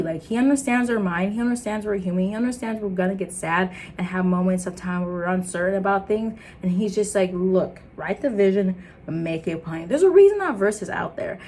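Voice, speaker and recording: A young woman with an American accent, born in Liberia and raised in the States, talking to camera in an earnest, animated motivational vlog. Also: fairly quick, slight background noise. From Liberia, raised in the United States. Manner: earnest and animated, laughing, jumping into high excited bits. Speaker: content creator. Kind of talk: casual motivational vlog talk.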